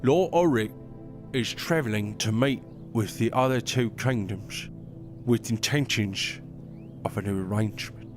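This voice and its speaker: A commanding royal advisor from an audio drama, voiced by a man. He speaks formally and with clipped authority, quick to put others in their place. A clear music bed plays under him. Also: on the low side, lightly acted. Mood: urgent. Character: stern male royal advisor, proud and commanding.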